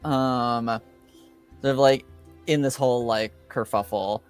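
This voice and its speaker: Slow, halting male game master, clear voice. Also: mid-range voice, steady tone, soft background music. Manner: halting, pauses between phrases. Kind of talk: narrating a tabletop roleplaying game.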